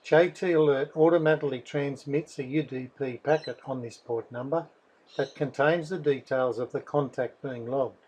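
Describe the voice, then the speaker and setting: A man, reading a scripted software tutorial in a methodical, instructional way. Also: mid-range voice, fairly even intonation, moderate pace, highs cut off, a little hiss or hum. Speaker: software developer presenting a tutorial.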